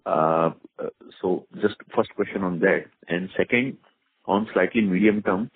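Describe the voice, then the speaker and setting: Over a narrow, dry phone line comes a very low, husky male voice with an Indian accent. He is an analyst asking questions on an earnings call, piecing them together with many hesitations. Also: dry recording. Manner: hesitant, pieced-together questions with frequent filled pauses. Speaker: investment analyst caller. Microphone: telephone.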